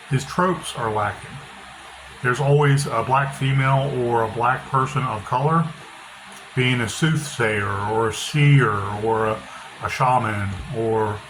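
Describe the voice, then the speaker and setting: A middle-aged author talking casually with a loud, bass-heavy voice, blunt and opinionated, often repeating himself. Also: male voice. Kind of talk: casual monologue. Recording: noisy background hiss.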